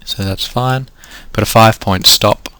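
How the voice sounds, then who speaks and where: A man's voice: on the low side, fairly even intonation, a little slow, sharp tone, clear, resonant tone, dry recording. A man with an Australian accent teaching a trading lesson, walking through numbers step by step and losing his voice. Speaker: trading coach. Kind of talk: screen-recorded lesson.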